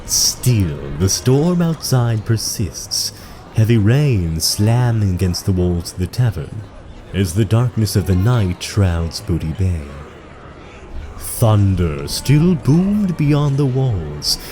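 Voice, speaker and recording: An actor playing a solemn storyteller, narrating a fantasy audio drama in a dramatic, literary manner. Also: male voice, lowish voice, big pitch swings, slow, warm tone, a little hiss or hum.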